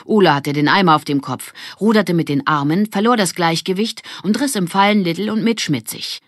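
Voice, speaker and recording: A woman reading a children's audiobook as an expressive scripted narrator. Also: on the low side, brisk, dry recording, studio quality.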